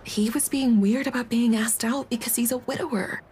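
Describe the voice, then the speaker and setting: A woman's voice: fairly high pitch, steady tone, normal speed, a little mellow, a little hiss or hum, natural voice. A woman playing a blunt, loyal young best friend in a comedic audio drama, teasing and protective. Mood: defensive.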